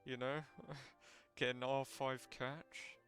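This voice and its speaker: A man talks casually as a game streamer. His voice is medium in pitch. Faint music plays in the background. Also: flat delivery, extremely slow, a bit bright. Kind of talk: casual live stream chat.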